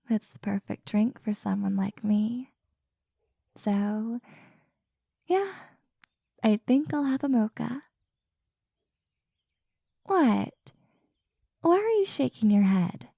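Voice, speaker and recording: A woman speaks very slowly in a soft, teasing whisper, as if leaning close to the listener. Her voice is mellow and husky with a gravelly fry, and the recording is dry and close. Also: medium pitch, dry recording. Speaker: audio roleplay performer. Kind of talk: intimate scripted audio roleplay.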